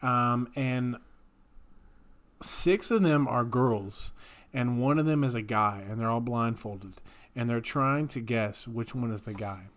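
A man with a medium-pitched voice co-hosts a casual podcast. He rambles a little, halting and circling back, and leans often on "like" as he talks. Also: fairly bright tone. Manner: halting, rambling, frequent "like" as filler. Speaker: podcast co-host. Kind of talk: casual chat.

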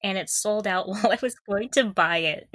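A woman's voice: medium pitch, moderate pace, a bit bright. A woman co-hosting a podcast in casual fan chat, gushing and giggly as she talks. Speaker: podcast co-host.

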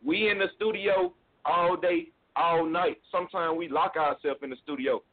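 A man's voice: fairly high pitch, fairly even intonation, normal speed. A man, a music label guest, talking casually and often saying "you know what I'm saying". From Mississippi. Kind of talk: casual phone interview. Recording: tinny phone line.

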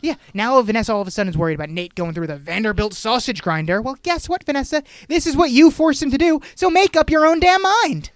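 Fast-talking, dry-sounding podcast co-host. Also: high voice, dry recording. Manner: fast, animated, swears, acts out quotes. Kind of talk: casual TV recap chat.

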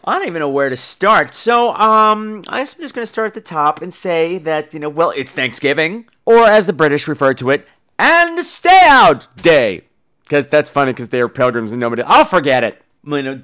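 A man's voice: on the high side, fairly bright tone, clear, resonant tone. A man hosting a podcast in a casual comedic monologue, with a campy, theatrical and emphatic delivery. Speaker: podcast host.

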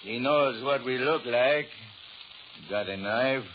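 An actor playing a cold-blooded gangster boss, curt and slangy, in 1930s radio horror style. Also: male voice, medium pitch, slow, natural voice. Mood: suspicious, curt. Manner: curt, hard-boiled, slangy. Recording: scratchy, muffled 1930s radio recording.

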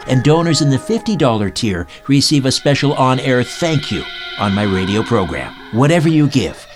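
A man hosting an interview podcast, speaking as a radio presenter to guests and listeners. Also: mid-range voice. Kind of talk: interviewing guests and scripted show sign-off.

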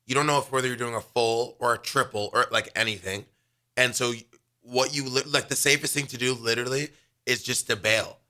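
A young man co-hosting a radio show in loose, casual chat. His voice is bright and forward, sitting in a middle pitch. He talks quickly and piles in "like" as he goes. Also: steady tone. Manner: chatty, quick storytelling packed with "like".

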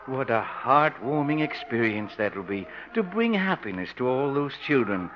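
An actor playing a polite, lonely ex-safecracker with courtly, formal manners in a 1950s radio comedy. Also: male voice, medium pitch, very animated, moderate pace, background noise, close to an everyday voice. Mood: wistful, lonely. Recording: dull lo-fi 1950s radio recording.

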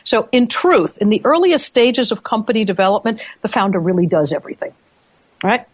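A woman's voice: medium pitch, brisk, husky, dry recording. A woman hosting a business podcast who explains her points conversationally and keeps checking in with tag questions. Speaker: podcast host.

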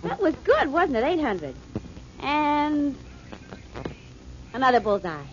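A woman's voice: high voice, sing-song, slow pace, heavily acted. A teasing, playful girlfriend. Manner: bright, teasing. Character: playful, affectionate young woman companion. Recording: dull old radio broadcast with hiss.

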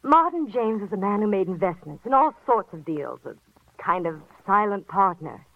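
A woman's voice: medium pitch, sing-song, moderate pace, dry recording. An actress playing a wary, bitter young woman in trouble, in a dramatic 1950s radio noir style. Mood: weary, confessing. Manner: dramatic, guarded. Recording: muffled vintage radio recording.

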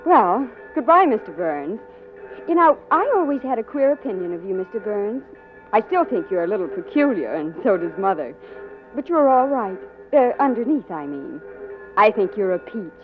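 A sweet, ingenuous young bride played by an actress in a 1940s radio play. Her fluttery speech rises and falls widely. The recording is thin and tinny, with clear music. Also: mid-range voice, very animated, moderate pace, close to an everyday voice. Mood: sweet, earnest.

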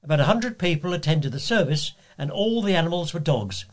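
Higher-pitched man reading news. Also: lively intonation, fairly quick. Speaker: volunteer newspaper reader.

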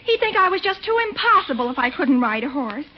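On a dull vintage radio recording, a romantic teenage niece. Her high, girlish voice is gushing and dramatic, in 1940s situation-comedy style. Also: sing-song, fast. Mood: coaxing.

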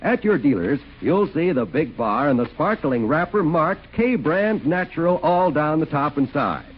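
A man's voice: fairly high pitch, sing-song, moderate pace, slightly rough. An American man working as a 1940s radio announcer, reading copy and credits in a polished, salesmanlike way. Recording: muffled 1940s radio broadcast, faint music.

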